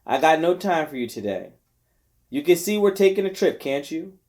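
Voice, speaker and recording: A man's voice: on the high side, big pitch swings, moderate pace, a little mellow. A man reading an audiobook, voicing a bossy, impatient housewife who scolds and hollers. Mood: impatient, scolding. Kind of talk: audiobook character voice.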